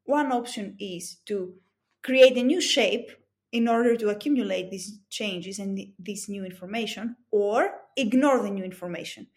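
A woman's voice: mid-range voice, moderate pace, darkish tone. A woman with a Greek accent giving methodical, explanatory answers with examples as an interview guest. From Greece. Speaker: interview guest, business coach and psychology expert.

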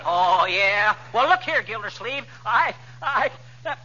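A man's voice: high voice, lively intonation, background noise. An actor playing a boastful, wisecracking man with a head cold in a 1940s radio comedy, on a lo-fi old broadcast recording. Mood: bristling. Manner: brash, wisecracking, rapid tongue-twister patter. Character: boastful blustery middle-aged man with a cold. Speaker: comic lead in a radio sitcom.

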